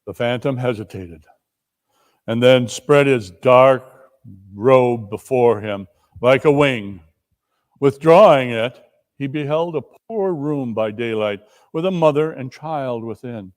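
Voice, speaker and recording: A man giving a dramatic reading of a classic story, with deliberate, solemn, theatrical phrasing. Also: medium pitch. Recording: reverberant hall.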